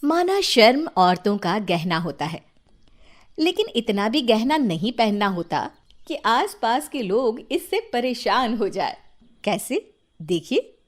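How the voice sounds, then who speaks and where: A woman storyteller with a fairly high voice that rises and falls a lot. She takes her time, narrating a scripted tale with playful drama. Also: big pitch swings, slow.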